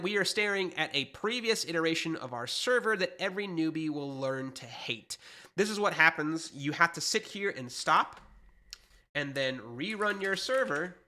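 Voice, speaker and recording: Stuffed-up man in his thirties, bright and slightly high voice, chatty programming instructor at a desk mic. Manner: explanatory, conversational, self-correcting. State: stuffed up with allergies. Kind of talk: screen-share coding tutorial. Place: small home studio, indoors.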